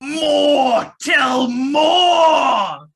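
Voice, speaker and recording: A man playing a crazed, grieving old steward lord in a fantasy script read, with a strained raspy voice and theatrical, bitter delivery. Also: very high voice, drawn-out words, shouting, a crowd in the background, exaggerated voice. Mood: ravenous, demanding. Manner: theatrical, declaiming.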